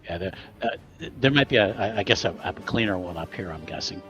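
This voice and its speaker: A man's voice: low-pitched, animated, brisk, a bit bright, faint music behind. A man playing a tabletop role-playing game in a hesitant, casual way, with slightly muffled sound. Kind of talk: casual in-character play and narration.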